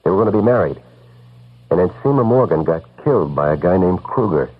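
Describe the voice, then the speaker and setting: An actor playing a tough, loyal investigator in an old-time radio drama, blunt and slangy. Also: male voice, low-pitched, lively intonation, fairly quick, slightly rough. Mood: grim, matter-of-fact. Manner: blunt, slangy, world-weary. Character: tough, loyal male hard-boiled investigator. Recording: muffled old radio recording, faint music.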